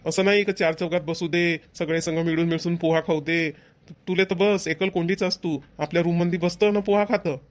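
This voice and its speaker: A man's voice: on the high side, fairly even intonation, fairly quick, lightly acted. A man playing a family-minded, exasperated young husband in a cartoon, scolding and lecturing with a Vidarbha Marathi accent. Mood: reproachful. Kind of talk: amateur family cartoon voice acting.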